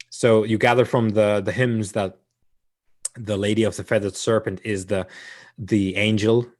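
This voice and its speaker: A hoarse man runs a tabletop game, narrating slowly and haltingly with plenty of fillers. His voice sits on the low side for a man. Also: darkish tone. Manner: hesitant, many fillers, chuckles often. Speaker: game master.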